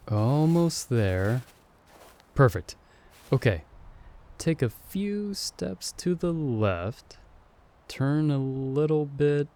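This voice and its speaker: A man's voice: mid-range voice, warm tone. A man playing a playful young man, the hero's friend who was summoned along with him, in an ASMR audio roleplay. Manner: teasing, friendly and upbeat. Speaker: voice actor.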